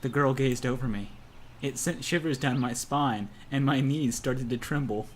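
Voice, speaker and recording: A man's voice: mid-range voice, normal speed. Young man narrating, faint background noise. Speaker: narrator of a visual novel let's play. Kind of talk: amateur dramatic reading aloud.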